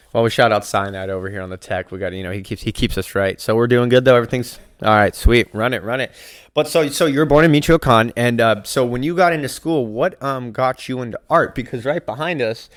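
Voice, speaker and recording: A man hosting a casual podcast interview in an art gallery, upbeat and joking. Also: mid-range voice. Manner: upbeat, chatty, loose and joking. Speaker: podcast host.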